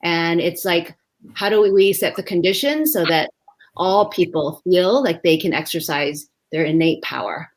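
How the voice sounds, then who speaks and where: A woman from Vietnam, an education executive, answering interview questions live over a video call, thoughtful and pausing often with fillers. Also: lowish voice. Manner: reflective, many pauses and "um" fillers.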